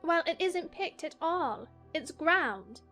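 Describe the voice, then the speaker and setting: The earnest, very high-voiced young heroine of a children's audio play, reasoning politely over music woman acting. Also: lively intonation, slow, a bit bright, full character voice. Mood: earnest, exasperated. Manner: earnest, logical, faintly exasperated. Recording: music behind the voice.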